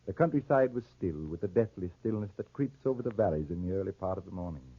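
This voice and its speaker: Muffled old radio drama recording of a proud, grave farmer father. His low voice swings expressively and carries a husky edge as he tells his story with deliberate weight. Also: lively intonation, no echo. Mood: grave narration. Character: proud, honor-bound Corsican farmer father, narrating his tragedy. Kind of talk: 1940s radio drama acting.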